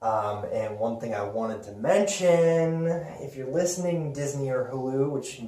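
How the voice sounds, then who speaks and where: A man talks some way from the microphone in a roomy, echoing space. He sounds like an excitable show host in a casual fan chat, informal and emphatic, with a medium-pitched voice. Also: unhurried. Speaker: host of a pop culture video show. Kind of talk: casual enthusiastic fan discussion. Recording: roomy echo, distant mic.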